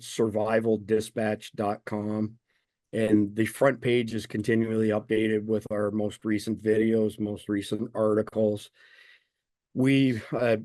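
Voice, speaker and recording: A man's voice: darkish tone, dry recording. A man being interviewed as a guest, explaining his points confidently and at length. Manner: confident, explanatory, making a pitch. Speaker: guest, survival news founder. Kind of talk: interview answers.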